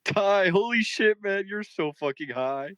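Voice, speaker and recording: A man playing a loud, crude, teasing teenage party guy at a script table read. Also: high-pitched, lively intonation, measured pace, no echo, lo-fi sound. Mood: mocking. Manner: crude, jeering.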